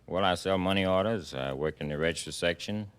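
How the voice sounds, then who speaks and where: A man's voice: deep voice, fairly quick, clean tone. An American man answering a quiz host as a contestant, reserved, brief and matter-of-fact. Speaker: quiz contestant, postal clerk.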